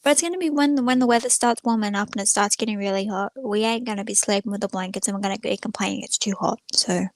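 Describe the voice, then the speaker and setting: A woman's voice: medium pitch, fast talker, few pauses, dry recording. A young woman chatting casually to viewers on a livestream in a rambling, informal, self-effacing way. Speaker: live streamer.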